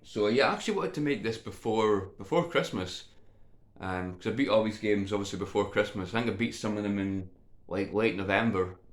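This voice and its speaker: A man chatting casually to viewers as a video game vlogger, slightly congested with a cold, in an informal, hesitant, meandering way. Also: lowish voice. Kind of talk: casual rambling chat to viewers. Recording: echoey untreated room.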